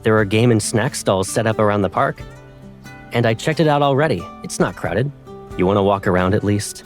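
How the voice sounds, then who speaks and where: A man's voice: lowish voice, fairly quick, faint music behind, lightly acted. An actor playing a playful, caring young man who teases and cajoles, in a fan dub of a romance game. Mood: coaxing. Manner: teasing, lightly cajoling.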